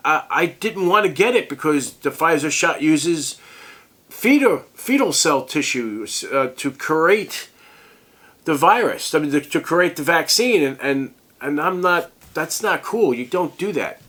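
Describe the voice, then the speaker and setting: A sick, congested man rambling through a casual vlog as a tech review host, halting and restarting often. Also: a little high, expressive, a bit bright.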